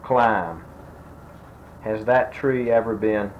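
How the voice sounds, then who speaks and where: A man in his sixties, speaking softly and slowly as he asks grammar fill-in-the-blank questions, his sentences trailing off. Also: on the low side. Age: 60s. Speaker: interviewer giving a grammar quiz. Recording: dull lo-fi tape with faint noise.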